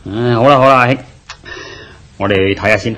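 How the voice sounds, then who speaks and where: Bright-voiced broke young man, acted by a man, Hong Kong Cantonese. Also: medium pitch, fairly quick, natural voice. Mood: reluctant. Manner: apologetic, eager to agree. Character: hard-up young man, placating, anxious debtor. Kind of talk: Cantonese radio drama acting.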